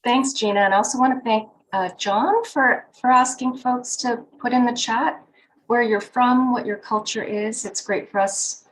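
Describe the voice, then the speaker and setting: A woman, a professor and psychologist, opening a virtual presentation in a welcoming, measured way on a video call. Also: on the high side, low-quality recording.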